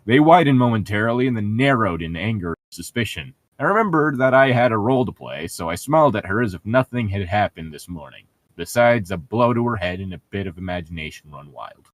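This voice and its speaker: A man's voice: fairly low pitch, expressive, brisk, dry recording. Sarcastic man reading aloud, close dry sound. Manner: sarcastic, irreverent, crude joking. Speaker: podcast host reading a novel aloud. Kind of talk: casual group chat with mocking read-aloud.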